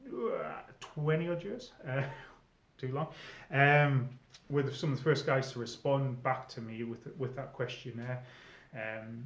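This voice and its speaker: A middle-aged man giving informal advice to viewers in a conversational, emphatic way, often pausing mid-sentence. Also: mid-range voice. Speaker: experienced role player giving advice to newcomers. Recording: echoey room, slightly dull sound.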